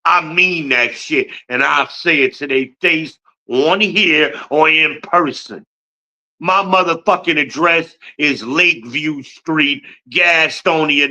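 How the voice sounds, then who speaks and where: A man's voice: a little high, lively intonation, slow pace, sharp tone, highs cut off. A man in his fifties from Newark, New Jersey, hosting a podcast livestream with a boastful, blunt, profane rant. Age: 50s. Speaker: podcast host.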